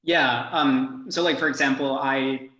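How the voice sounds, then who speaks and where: A man's voice: mid-range voice, fairly even intonation, a little slow, sharp tone. A young man from Mexico, a native Spanish speaker, hosting a student Q&A in an easygoing way, full of "you know.". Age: young adult. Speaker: student host. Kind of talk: casual Q&A, reading questions. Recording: echoey room.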